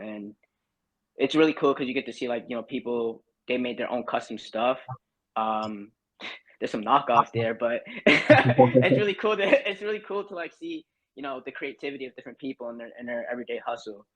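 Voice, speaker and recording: A man's voice: medium pitch, moderate pace, a little hiss or hum, low-quality recording. A young man from Barbados, a podcast guest, chatting casually and loosely with lots of fillers and restarts. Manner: relaxed, rambling with many fillers. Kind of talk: casual chat.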